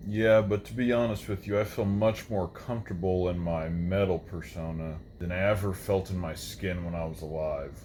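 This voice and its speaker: A man voicing an undead metal musician, a brooding but polite reaper giving earnest, measured interview answers. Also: low-pitched, steady tone, darkish tone, slight background noise, natural voice. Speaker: talk show guest.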